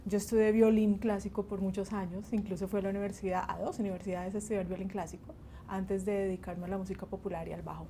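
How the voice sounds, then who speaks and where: A middle-aged Colombian woman, a bassist and music teacher, talking about her life in an interview, laughing often and pausing between phrases. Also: flat delivery, warm tone, a little hiss or hum.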